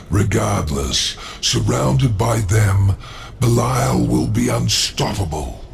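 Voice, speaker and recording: A man voicing an imperious, cunning demon lord in a video game, measured, formal and ominous. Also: on the low side, expressive, vocal fry, slight background noise, full character voice. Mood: ominous, knowing. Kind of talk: video game cutscene acting.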